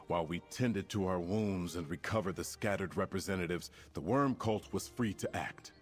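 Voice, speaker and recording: An actor playing a resolute, formal male commander in a fantasy video game, speaking in a measured, stately way over music. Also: deep voice, natural voice. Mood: formal resolve. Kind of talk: scripted game dialogue.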